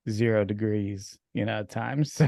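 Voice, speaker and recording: Gravelly low-voiced man hosting a podcast. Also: unhurried, dry recording, clean studio sound. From Ukraine. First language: Russian. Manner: relaxed, filler-heavy, laughs easily. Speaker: podcast host. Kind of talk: casual interview chat. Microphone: video call.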